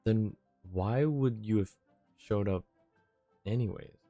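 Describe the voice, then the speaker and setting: A woman with a very low voice comments on a game livestream. The delivery is casual and wry, as if thinking aloud. Game music plays faintly behind the voice. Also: slow, dark tone, no echo. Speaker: streamer.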